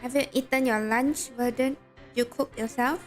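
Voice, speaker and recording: A woman's voice: high voice, fairly even intonation, normal speed, faint music behind. Chatty Malaysian woman game streamer. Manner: chatty, reacts to chat and reads game text aloud. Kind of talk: casual game stream chat with viewers.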